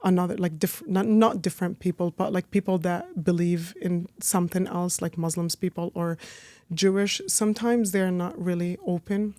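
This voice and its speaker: A woman's voice: lowish voice, fairly even intonation, moderate pace, dark tone, no room sound. Chatty Palestinian-born woman, dry sound. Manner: chatty, heavy use of "like" and "you know". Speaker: guest, business owner. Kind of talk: casual interview talk.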